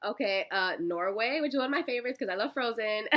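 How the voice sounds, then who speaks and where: A woman's voice: fairly high pitch, lively intonation, brisk, a bit bright. A woman of about twenty-one with an American accent, answering casual interview questions in a bubbly, effusive, chatty manner. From Miami, Florida, United States. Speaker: job interview candidate, college student.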